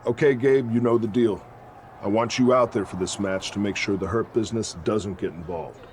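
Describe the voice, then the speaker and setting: A man's voice: on the low side, moderate pace, a little mellow, lightly acted. Man playing a stern wrestling faction boss, faint noise. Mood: businesslike. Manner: scolding, commanding, impatient. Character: stern, sarcastic male leader of a wrestling faction. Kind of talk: video game cutscene acting.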